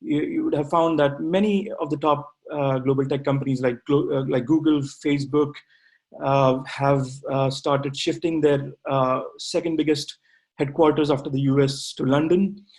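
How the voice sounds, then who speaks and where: Hesitant man with a higher voice. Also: fairly even intonation, moderate pace, a little mellow. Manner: hesitant, with frequent "uh" fillers and restarts. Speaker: MBA graduate guest. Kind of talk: webinar talk answering questions.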